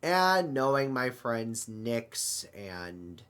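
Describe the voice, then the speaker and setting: A man plays a flustered multiverse traveler in an improvised audio drama. He talks slowly in a mid-pitched voice, stammers, doubles back on words and thinks aloud, apologetic about being lost. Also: big pitch swings, fairly bright tone, clear, resonant tone, heavily acted. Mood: apologetic, worried. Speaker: roleplay performer. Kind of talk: loose improvised audio roleplay.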